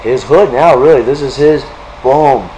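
A man's voice: mid-range voice, measured pace, dark tone, lo-fi sound, a little hiss or hum. A young man hosting and interviewing a fighter in a large indoor gym, hyping him up with enthusiasm. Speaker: interviewer and show host. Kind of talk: casual interview.

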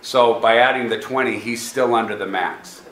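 A man's voice: medium pitch, steady tone, moderate pace, fairly bright tone. An American man giving an informal report and answering questions as a school district staff presenter at a meeting. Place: indoor public board meeting room. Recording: echoey meeting room, faint background noise.

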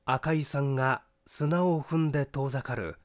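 A man reading a detective novel aloud as a dramatic narrator, in a measured, theatrical way. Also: mid-range voice. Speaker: audiobook reader, small-theatre performer.

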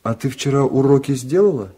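A man voicing a quiet middle-aged father who gently and hesitantly questions his daughter in a radio reading. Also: medium pitch, lively intonation, fairly quick, breathy edge, voice pushed up. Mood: coaxing.

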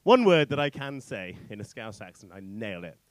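A man in his thirties doing informal stand-up into a handheld mic, rambling, halting and sweary. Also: lowish voice, big pitch swings, no room sound. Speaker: stand-up comedian. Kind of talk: stand-up comedy set, informal crowd banter. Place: on stage in a small indoor comedy club. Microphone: handheld stage microphone.

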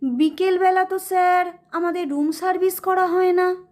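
A woman's voice: very high voice, steady tone, normal speed, darkish tone, voice pushed up. A woman voicing a polite but firm male hotel manager in an audio story, speaking formally. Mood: surprised, firm.

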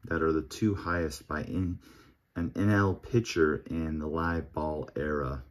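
A man opening packs of baseball cards at a home table, reading off player names one by one in a relaxed, murmuring commentary. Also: a bass voice, measured pace. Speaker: card collector opening packs. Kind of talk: casual pack-opening commentary. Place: home table with card collection display.

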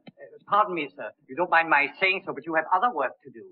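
A loyal formal butler. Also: male voice, on the high side, quick pace, husky, dry recording. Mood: earnest. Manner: polite, formal, keeps saying sir. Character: deferential loyal male manservant, middle-aged. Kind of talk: 1930s film acting. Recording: tinny old 1930s film soundtrack.

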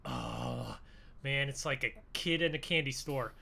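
A man bantering in an improvised gaming roleplay, excitable and full of exclamations, often urging others on. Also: mid-range voice, measured pace, gravelly. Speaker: gaming video creator playing a truck roleplay. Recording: faint background noise.

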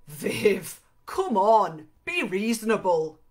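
A woman's voice: mid-range voice, very animated, slow pace, a little mellow. A woman voicing a cajoling, impatient man with an Irish accent in a storybook reading. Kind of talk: dramatic audiobook-style reading.

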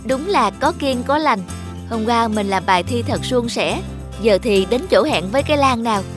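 Woman acting a high-voiced, fussy student girl. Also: expressive, normal speed, music in the background, natural voice. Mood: self-absorbed. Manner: whiny, dramatic. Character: fussy, superstitious young student daughter, whiny and stubborn. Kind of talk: Vietnamese cartoon dialogue.

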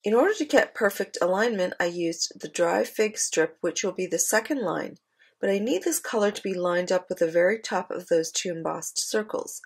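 A woman's voice: lowish voice, normal speed, slightly rough. A woman presenting an instructional craft voice-over, explaining things step by step. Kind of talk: instructional voice-over narration of a card-making demo.

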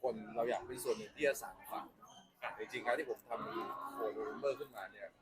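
A man gives press interview answers as a company boss, earnest, polite and halting. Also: medium pitch. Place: busy media event. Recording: crowd chatter at press event.

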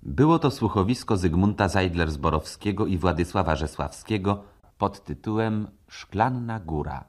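A man with a deep voice makes a formal radio announcement. His delivery is scripted and orderly, in the manner of a credits reading. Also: animated, normal speed.

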